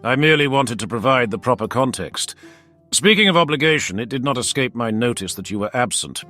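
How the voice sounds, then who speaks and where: A man's voice: medium pitch, expressive, a little fast, sharp tone, soft background music. A man voicing a proud, blunt young warrior lord with formal, curt fantasy dialogue. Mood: dismissive. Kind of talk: fantasy audiobook character dialogue.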